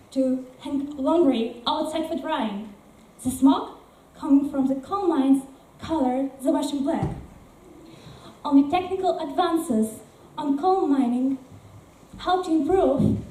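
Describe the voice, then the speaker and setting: A woman narrating background facts in a measured, explanatory way during a school play. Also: high-pitched, warm tone. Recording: echoey room from far off, faint noise.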